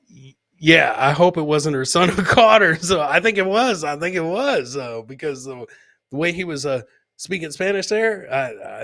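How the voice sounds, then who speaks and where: A man's voice: medium pitch, a bit bright. A man hosting a live comedy show, casually commenting on funny clips and often repeating his phrases for comic emphasis.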